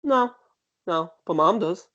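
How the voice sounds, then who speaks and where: A woman's voice: lowish voice, animated, slow pace, fairly bright tone. Curious young boy, voiced by a woman, dull sound. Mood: curious, cheeky.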